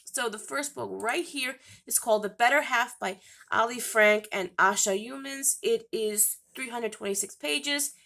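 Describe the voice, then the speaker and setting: A woman's voice: mid-range voice, creaky, fairly bright tone. A woman hosting a book channel, sounding nasal from a cold, reading book descriptions aloud with stumbles and self-corrections.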